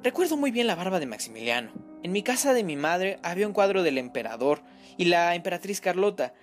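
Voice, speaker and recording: Male reader as a high, wavering, guilt-ridden mother, near tears. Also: sing-song, fairly quick, faint music behind. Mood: insistent. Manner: tearful, pleading, breathless outbursts. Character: distraught middle-aged mother, frantic and self-blaming.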